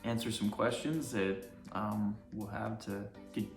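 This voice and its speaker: A man's voice: lowish voice, steady tone, vocal fry. Laid-back guy, lots of ums, room echo and a bit of guitar music under it. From Utah, United States. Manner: easygoing and halting, with many fillers. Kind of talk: casual family Q&A chat.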